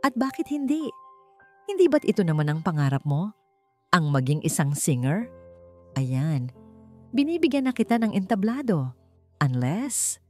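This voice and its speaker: A woman's voice: lowish voice, big pitch swings, measured pace, long pauses. A woman voicing a cruel, haughty rich bride in an audio drama, taunting and falsely sweet, over music. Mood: sneering, taunting. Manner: taunting, switches to sugary sweetness.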